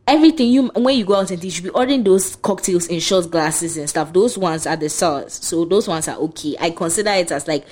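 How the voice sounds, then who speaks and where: A young woman co-hosting a casual podcast chat, blunt and emphatic in her opinions. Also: on the low side. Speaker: podcast co-host.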